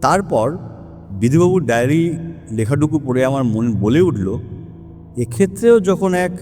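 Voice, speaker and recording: An actor playing a learned, methodical male detective in an audio story, laying out his reasoning on a supernatural case in a deliberate, emphatic way. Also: a little high, expressive, darkish tone, close to an everyday voice. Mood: convinced, intense. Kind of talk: dramatized audio story monologue. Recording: long hall reverb, music underneath.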